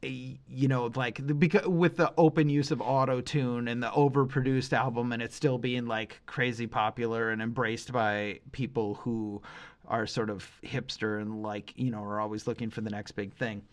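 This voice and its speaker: A man's voice: mid-range voice, fairly even intonation, moderate pace. A middle-aged man hosting a casual music review podcast, thinking aloud in a rambling, emphatic, opinionated way.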